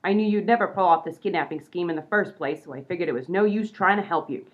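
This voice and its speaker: A woman's voice: fairly low pitch, quick pace, natural voice. A woman voicing a smug, double-crossing gangster's moll in a comedy radio play. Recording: tinny phone-like audio.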